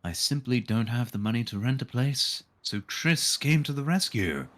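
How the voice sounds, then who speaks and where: A man's voice: medium pitch, expressive, moderate pace, a bit bright. A man streaming a visual novel, reading it aloud and dropping in dry, irreverent asides. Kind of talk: live read-aloud of a game with casual joking asides.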